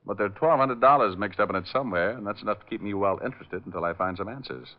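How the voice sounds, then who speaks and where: An actor playing a cool, mercenary private detective in 1940s radio style, dry, laconic and wisecracking. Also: male voice, fairly low pitch, rapid-fire, close to an everyday voice. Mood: cool, probing. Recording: dull vintage radio recording with music.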